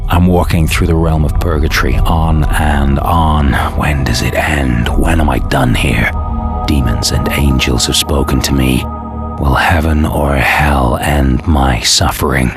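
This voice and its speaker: An actor playing a jaded, cynical antihero who narrates his war in a world-weary, sardonic way for a game. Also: very deep voice. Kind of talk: hardboiled scripted narration.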